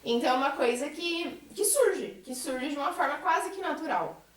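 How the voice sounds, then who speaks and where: A woman's voice: sits high, animated, normal speed. A woman with a Brazilian accent speaking earnestly and articulately in a reflective group conversation, as a member of a theatre troupe. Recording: echoey room, distant mic.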